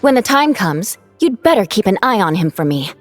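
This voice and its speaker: A woman's voice: mid-range voice, big pitch swings, moderate pace, faint music behind, lightly acted. A woman playing a proud, competitive young female fighter who talks pointedly in a video game. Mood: competitive, indignant. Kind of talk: game voice acting.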